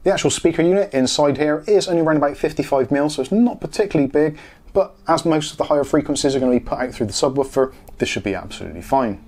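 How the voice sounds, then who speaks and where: A man's voice: fairly high pitch, fast, a little mellow. A man in his forties explaining a product in a matter-of-fact way, in a casual hands-on review. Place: small home studio room.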